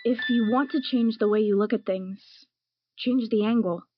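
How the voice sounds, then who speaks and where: A young woman playing a patient girl who gently gives life advice in an audio drama. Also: a little high, moderate pace, over music, close to an everyday voice. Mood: encouraging. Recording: dull lo-fi recording.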